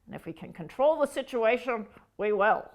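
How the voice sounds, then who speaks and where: A woman doing a bossy crow chief, scheming, commanding, lively pitch swings. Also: normal speed. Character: bossy suspicious crow leader, controlling.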